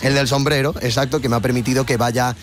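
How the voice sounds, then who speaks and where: Brief: male radio comedian, playful and self-mocking, with a loud music bed under him. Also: mid-range voice, steady tone, quick pace. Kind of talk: comic radio segment.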